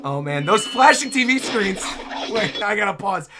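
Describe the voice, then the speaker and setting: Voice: medium pitch, animated, normal speed, noisy background. A reaction video host giving casual, off-the-cuff commentary on game trailers. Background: music from the trailers.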